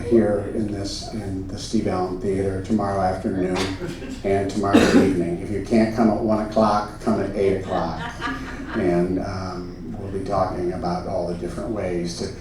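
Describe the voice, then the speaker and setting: A man performing on a theater stage in a comedy show, speaking with deadpan, mock-earnest solemnity as a presenter. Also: low-pitched, normal speed, a crowd in the background. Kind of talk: comedy stage show, solemn story narration and audience address. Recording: echoey room, far from the mic, music.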